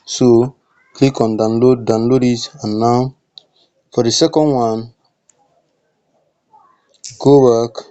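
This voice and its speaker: A man's voice: mid-range voice, fairly even intonation, slow pace, pauses often, dark tone, highs cut off. A man narrating a step-by-step app tutorial in plain, short instructions.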